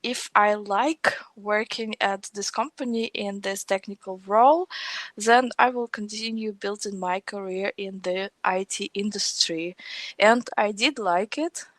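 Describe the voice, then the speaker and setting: A woman's voice: medium pitch, steady tone, a little slow, fairly bright tone, dry recording. A woman in her thirties with a Russian accent, talking conversationally as an interview guest. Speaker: podcast guest, technical trainer.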